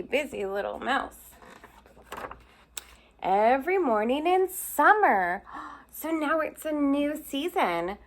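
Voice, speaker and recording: Woman teacher, storybook read-aloud for kids, slightly high voice, chatty asides. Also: a bit bright. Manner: explains pictures with asides to young listeners.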